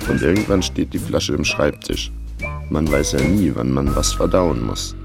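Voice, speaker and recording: A man's voice: very deep voice, lively intonation, normal speed, vocal fry, over music, heavily acted. An actor playing a laconic investigator who narrates his own case dryly in a radio crime drama. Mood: wry. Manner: dry, laconic. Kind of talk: scripted first-person radio drama narration.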